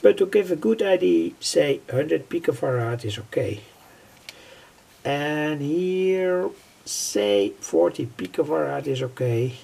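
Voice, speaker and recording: An older man giving an unscripted technical tutorial in a halting, repetitive way, piecing phrases together. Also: fairly high pitch, animated, dark tone. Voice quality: husky, gravelly. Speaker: electronics hobbyist presenter. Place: indoors at a worktable.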